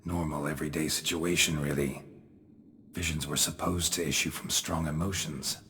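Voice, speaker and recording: Super deep gravelly monster hunter from a fantasy game, hushed n deadpan, bit of background noise. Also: male voice, normal speed, exaggerated voice. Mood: grave, pensive. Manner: deadpan, clipped sentences. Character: gruff, laconic male monster-hunting mercenary, world-weary and unflappable. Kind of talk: video game cutscene acting.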